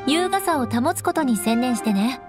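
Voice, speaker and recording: High-voiced, poised noblewoman knight. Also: expressive, moderate pace, over music. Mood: composed, instructing. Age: young adult. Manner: poised, elegant, haughty, instructing. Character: proud, composed young noblewoman knight, strict but caring. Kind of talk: Japanese anime game voice acting.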